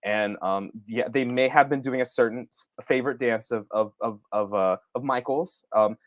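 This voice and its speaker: A man's voice: medium pitch, moderate pace, no echo. Informal man, dry close sound. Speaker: life coach, live session host. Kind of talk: casual chat.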